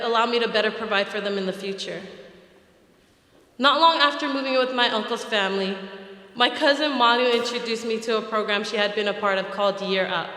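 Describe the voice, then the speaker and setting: A young woman from American Samoa delivers a prepared graduation speech, earnest and measured. Her voice is bright and forward. The sound carries a long reverb, as in a large hall. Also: a little high. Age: young adult. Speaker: graduation speaker. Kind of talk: prepared speech read aloud.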